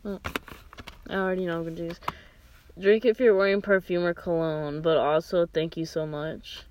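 Young adult, mid pitch, swears a ton, chill drinking game talk, little bit of hiss. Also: moderate pace. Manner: expressive, swears freely. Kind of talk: casual chat over a drinking game.

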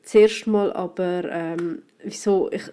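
A young woman with a Swiss German accent hosting her podcast in casual solo chat, hoarse from a cold, rambling with many fillers and restarts, recorded at home. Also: on the low side, steady tone, slow pace, dark tone. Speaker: podcast host. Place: at home in quarantine.

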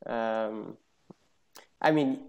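A man's voice: fairly low pitch, very slow. A man hosting a casual interview, asking rambling, playful questions. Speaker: host.

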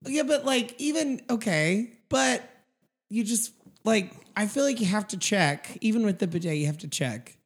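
A woman from Tennessee co-hosting a casual podcast, raspy from being sick, blunt and swearing freely with frequent laughs. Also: mid-range voice, lively intonation, moderate pace. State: raspy from a recent illness. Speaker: podcast co-host. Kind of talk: casual chat.

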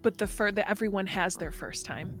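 A woman plays a young mechanic who is defensive and defiant. The delivery is halting and insistent, self-justifying, at a middle female pitch over faint music in a tabletop roleplay. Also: lightly acted.

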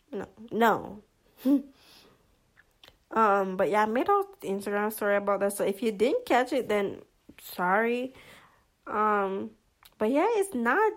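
A woman vlogger giving casual commentary in a monotonous voice, hesitant, with many fillers and restarts. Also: medium pitch.

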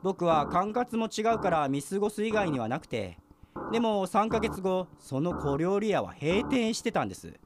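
High-pitched, animated earnest male police officer. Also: normal speed, background noise. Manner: polite formal explaining with sudden blunt outbursts. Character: earnest young male police officer, exasperated junior to senior detective. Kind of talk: Japanese radio drama dialogue.

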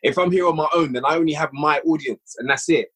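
A man guesting on a podcast, talking about his audio drama work in a relaxed, rambling way with lots of fillers. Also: fairly high pitch, fast talker, breathy edge. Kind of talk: casual podcast chat.

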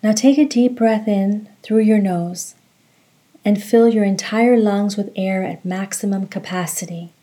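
A woman leading a guided meditation, giving calm, measured instructions and reciting. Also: mid-range voice.

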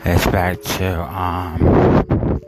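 Deep-voiced man rambling over music. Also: completely flat delivery, extremely slow. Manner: meandering, heavy fillers and restarts, laughs at himself. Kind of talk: rambling personal monologue. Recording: music playing behind.

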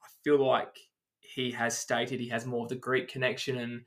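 A man co-hosting a relaxed podcast chat. He talks in long, meandering explanations peppered with ums as he rattles through a list of names. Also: medium pitch, normal speed. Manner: explains at length, runs through names with many "um"s. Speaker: podcast co-host.

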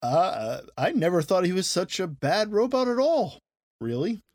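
A woman voicing an awkward, sheepish crewmate with a hesitant, deadpan manner. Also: lowish voice, moderate pace, no room sound, studio quality, soft background music.

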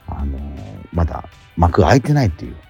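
A middle-aged man chatting casually as a radio host, animated but sometimes halting and reflective. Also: low-pitched, vocal fry, husky, soft background music. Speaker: radio host and theatre actor. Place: theatre auditorium during rehearsal.

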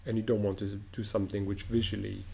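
A middle-aged man from Morocco with a fairly low voice talks in a reflective interview. He pauses, restarts and fills gaps with "um" as he gathers his thoughts. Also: little pitch movement, vocal fry, a little mellow, slight background noise. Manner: thoughtful, halting, many restarts and fillers. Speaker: opera director, guest.

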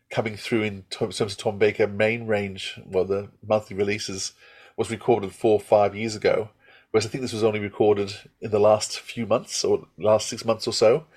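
Lower-pitched man, enthusiastic podcast chatter. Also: a little mellow. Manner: enthusiastic, rambling with self-interruptions. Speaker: podcast host. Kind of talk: casual review chat.

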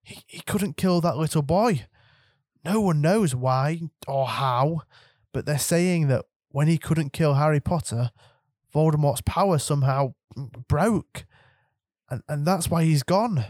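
A stern older witch professor speaks in a high, clipped, impatient way. A man lifts his voice to play her. It is a storybook reading in a dry, close sound. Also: very animated, a little slow, no echo, heavily acted. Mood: distressed. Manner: clipped and impatient, rising to distress.

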